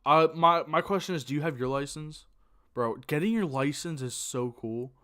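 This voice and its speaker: Rambling teenage streamer, slightly high voice. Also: male voice, moderate pace, bright, forceful tone, smooth. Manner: rambling, with many fillers and self-corrections. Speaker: streamer reacting to videos. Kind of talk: casual live-stream chat.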